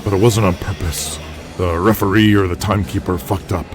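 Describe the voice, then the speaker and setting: A man playing a calm, good-natured veteran pro-wrestler in an audio drama, speaking in a measured, conversational way. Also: sits low, natural voice. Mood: frank recounting. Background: music.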